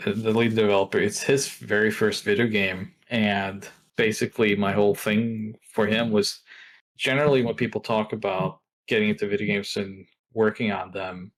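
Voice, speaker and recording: A fairly low-pitched middle-aged man, talking as an interview guest. He muses in a loose, rambling way, circling back and restarting his sentences. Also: fairly bright tone, low-quality recording. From Norway. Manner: reflective, rambling with many restarts. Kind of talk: casual interview talk.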